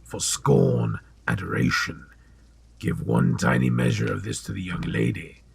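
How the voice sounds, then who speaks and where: A man playing a sly, sinister old potion seller in an audio drama, with a gravelly, husky voice and a deliberate, insinuating manner. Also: low voice, sing-song, a little hiss or hum, full character voice. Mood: seductive persuasion.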